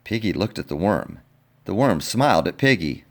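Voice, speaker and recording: A man reading a picture book aloud in an expressive, playful way, talking directly to young listeners. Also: low voice, normal speed. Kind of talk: animated children's storybook read-aloud.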